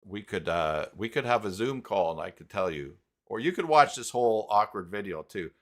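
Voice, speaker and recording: A man hosting a live stream tutorial in a casual, halting way, thinking aloud as he goes. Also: lowish voice, expressive. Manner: halting, thinking aloud while clicking around. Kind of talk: casual live tutorial talk, reading chat.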